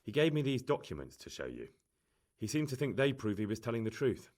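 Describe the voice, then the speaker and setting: An actor playing a bold, blunt young investigator in a video game, direct and challenging. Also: male voice, medium pitch, very animated, brisk, darkish tone, close to an everyday voice. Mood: curious.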